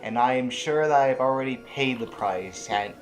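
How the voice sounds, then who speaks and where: A man's voice: medium pitch, moderate pace, soft background music, natural voice. A man playing a proud, defiant general in an audio drama, formal and pointed with a sardonic edge. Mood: sardonic, defensive. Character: proud, principled, defiant male general of rebels.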